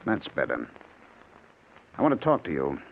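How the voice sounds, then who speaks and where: Vengeful, sardonic husband, low and highly inflected, vintage radio thriller on a tinny recording. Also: male voice, huge pitch swings, moderate pace, vocal fry, slight background noise, exaggerated voice. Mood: cold menace. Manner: sardonic, theatrically menacing. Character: scheming, vengeful husband feigning madness, coldly triumphant. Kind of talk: old-time radio mystery drama acting.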